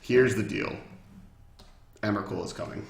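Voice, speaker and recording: A man's voice: on the low side, unhurried, vocal fry. A man explaining fantasy game lore in casual conversation. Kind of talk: casual chat, explaining a story. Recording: echoey room.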